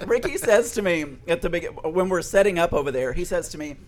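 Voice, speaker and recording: A man in his forties with a Southern accent, hosting a casual podcast, chatty and animated, laughing often and restarting his sentences. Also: high-pitched, a little fast. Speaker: podcast host. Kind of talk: casual chat.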